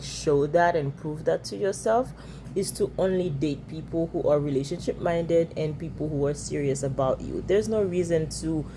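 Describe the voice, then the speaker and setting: A woman's voice: low-pitched, mellow, a little hiss or hum. A woman giving direct, lecturing dating advice in a reaction video.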